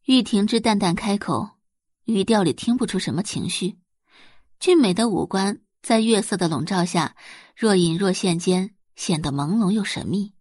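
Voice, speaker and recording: A woman's voice: medium pitch, moderate pace, darkish tone, husky, no echo, clean studio sound. A woman giving dramatic scripted narration of romance fiction as an audiobook narrator.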